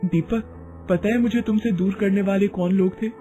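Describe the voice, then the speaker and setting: A storyteller voicing a gentle but resolute devout young mother in an earnest, dramatic story style. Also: mid-range voice, fairly even intonation, normal speed, pitched above the performer's own voice, lightly acted. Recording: music bed under voice.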